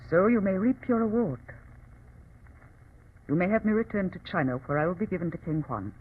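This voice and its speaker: A defiant woman leading a persecuted people, with a rough-edged voice. She speaks with formal, impassioned conviction in a 1940s radio drama. The old recording is muffled and scratchy. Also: lowish voice, animated, fairly quick. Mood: resolute, solemn. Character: proud, defiant Chinese female revolutionary leader.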